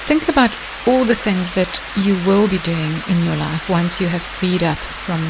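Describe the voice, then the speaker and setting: A meditation guide delivering scripted hypnotic narration in a measured, deliberate way with pauses. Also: medium pitch. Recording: hissy, clearly noisy background.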